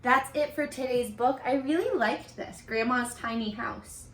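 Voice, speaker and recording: A woman reading a picture book aloud like a teacher, expressive and encouraging, putting questions to her young listeners. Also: on the high side, a little slow. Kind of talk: animated children's read-aloud with questions to listeners. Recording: echoey, roomy sound.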